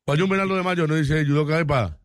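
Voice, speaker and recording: A man's voice: on the high side, fast. A man with a Paraguayan accent hosting casual sports banter, teasing and informal, often throwing out questions. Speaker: sports show host.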